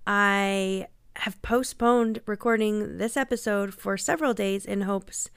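A woman's voice: medium pitch, a little slow, a bit bright, clear, resonant tone, no room sound, studio quality. A woman hosting a podcast, sounding congested from a cold, talking in a chatty, apologetic way. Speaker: podcast host. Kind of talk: casual podcast chat.

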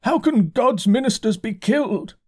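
A man voicing a distraught, half-mad male curate who talks in a slow, complaining, pleading way. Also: very high voice, expressive, breathy edge, pitched above the performer's own voice, exaggerated voice. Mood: fearful. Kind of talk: audiobook character voice.

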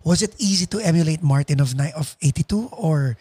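A Filipino-accented man hosts a podcast in a fairly high voice. He interviews casually and attentively, dropping in short affirmations. The recording is dry and free of room echo. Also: a little fast, warm tone, slightly rough. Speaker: podcast host.

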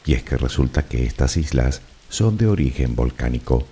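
A man from the Canary Islands with a Canarian Spanish accent, narrating a guided sleep relaxation in a soft, unhurried, soothing way. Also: very deep voice, expressive, slightly rough.

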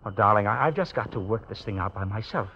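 Jittery evasive businessman in old radio drama, low voice, stumbles over words, muffled old recording. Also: lively intonation, sharp tone. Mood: evasive, uneasy. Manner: hesitant, halting, nervous. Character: troubled, evasive businessman in fear for his life. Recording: dull lo-fi vintage radio recording, hissy.

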